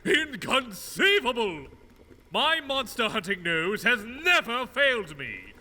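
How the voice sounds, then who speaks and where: Zealous guard, pompous, over-earnest, high forceful voice, long reverb, audio drama. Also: male voice, very animated, slow, exaggerated voice. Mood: indignant. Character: pompous, zealous male monster-hunting guard. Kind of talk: scripted audio drama acting. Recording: long reverberant hall sound.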